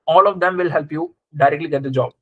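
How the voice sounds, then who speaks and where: A man with a fairly high voice teaches a live class in a conversational way. He explains step by step and often checks with short questions, recorded close and dry. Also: fast talker, no room sound, narrow, tinny audio. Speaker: astrology teacher. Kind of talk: live interactive class, explaining and quizzing students.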